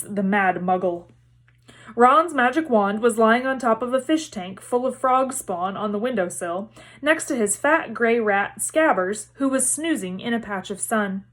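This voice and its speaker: Female storybook narrator, read-aloud delivery, voice set a little high.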